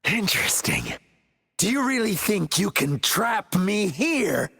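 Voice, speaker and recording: An actor playing a menacing, theatrical male villain in a game cutscene, slow and mocking, with cackling laughs. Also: high-pitched, very animated, vocal fry, bright, forceful tone, breathy edge, heavily acted. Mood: taunting. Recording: long reverb in game mix.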